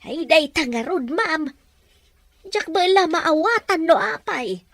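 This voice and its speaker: A woman's voice: very high-pitched, lively intonation, slow pace, a bit bright, full character voice. A Filipino woman playing a chatty, deferential older household helper in an Ilocano radio drama, folksy and placating. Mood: gossipy.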